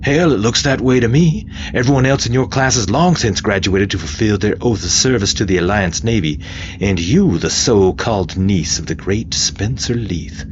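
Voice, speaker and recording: A man voicing a relentless, taunting military drill sergeant in a sci-fi audio story, loud and full of contempt. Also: fairly low pitch, normal speed, lo-fi sound, a little hiss or hum, pitched above the performer's own voice, natural voice. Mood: shouting contempt. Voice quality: loud, forceful shouting. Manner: taunting, browbeating.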